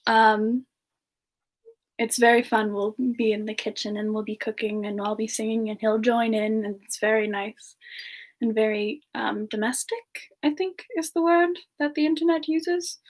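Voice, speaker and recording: A woman playing a sweet, chatty young female demon, talking gently and hesitantly in a casual semi-ASMR live chat. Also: fairly high pitch, steady tone, close to an everyday voice. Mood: gentle, friendly. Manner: gentle, hesitant, pausing often mid-sentence. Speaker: host of a live Q&A stream.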